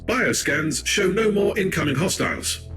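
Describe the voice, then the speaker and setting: An actor playing an efficient sci-fi shipboard tech officer in a video game, giving formal, clipped reports. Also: male voice, high voice, very animated, moderate pace, over loud music. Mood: businesslike. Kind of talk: video game dramatic acting.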